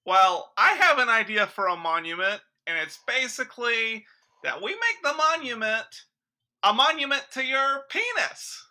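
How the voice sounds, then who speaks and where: A woman playing a brash, eager male monument designer with a blunt, suggestive pitch in a radio comedy sketch. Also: big pitch swings, unhurried, pushed and bright, exaggerated voice. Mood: persuasive. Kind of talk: old-time radio sketch comedy parody.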